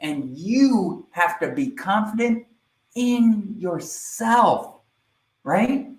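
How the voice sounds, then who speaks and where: A man giving a live online sales training, energetic and speaking directly to viewers, often checking in with "right?". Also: high-pitched, extremely slow, reverberant, rough recording.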